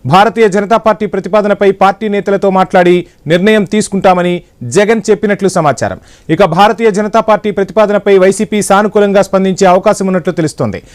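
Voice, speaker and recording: Guy anchoring the news, high voice, brisk and formal. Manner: brisk, formal broadcast delivery. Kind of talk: news reading.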